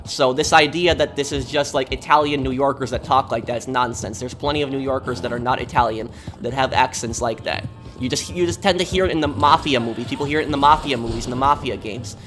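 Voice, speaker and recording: A man's voice: medium pitch, fast, music in the background. A man from New York, live-streaming a game and chatting casually with viewers in a rambling, talkative way. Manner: rambling and talkative, with many restarts.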